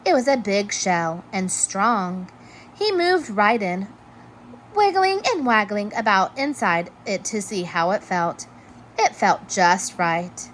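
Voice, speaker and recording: A woman reading a children's story aloud in an expressive, measured storytelling manner. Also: mid-range voice, slight background noise, low-quality recording. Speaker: storybook reader. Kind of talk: children's read-aloud narration.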